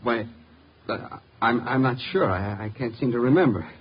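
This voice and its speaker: A man portrays an agitated drunken husband in an old radio play. His voice is very husky and rough, with a stammering, desperate manner, on a dull vintage recording. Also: medium pitch, normal speed, close to an everyday voice. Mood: desperate, pleading. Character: drunk, desperate husband accused of murder, male. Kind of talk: 1950s radio drama acting. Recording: muffled 1950s radio recording.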